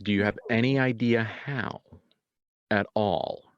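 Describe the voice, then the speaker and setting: A man's voice: fairly low pitch, fairly even intonation, slow pace, clean tone, lo-fi sound. A man acting as game master, casually guiding players through a tabletop roleplaying game.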